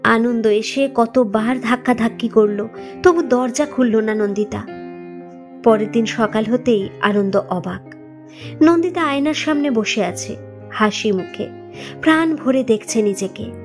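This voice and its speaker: A woman reading a scripted story as narrator in an expressive, dramatic manner. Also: a little high, a little slow. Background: background music.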